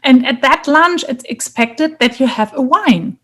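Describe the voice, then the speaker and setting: High-pitched woman with a German accent. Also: animated, a little slow, fairly bright tone. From Germany, Munich. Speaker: interview guest, leadership coach and businesswoman. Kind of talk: conversational interview answers.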